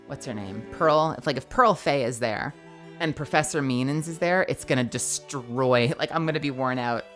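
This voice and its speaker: Gravelly-voiced woman streamer chatting. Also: extremely low pitch, normal speed, a bit bright, faint music behind. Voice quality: gravelly, textured. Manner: casual, rambling, chatty. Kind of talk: live game voice-acting stream.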